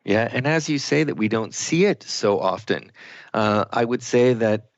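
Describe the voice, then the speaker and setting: A man's voice: mid-range voice, animated, normal speed, no echo. A man co-hosting a conversational talk radio discussion, speaking reflectively and searching for his words. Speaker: radio show host. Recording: dull, lo-fi radio call audio.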